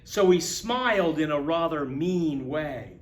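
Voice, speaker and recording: A man reading a children's picture book aloud to young listeners in an expressive, emphatic storyteller's manner. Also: on the high side, slow pace, echoey room. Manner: expressive, emphatic, explains words in asides.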